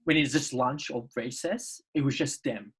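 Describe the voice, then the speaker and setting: A woman's voice: very deep voice, moderate pace, husky. A woman in her twenties with an Australian accent, chatting casually as a podcast co-host, hesitating and repeating her words. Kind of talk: casual group chat.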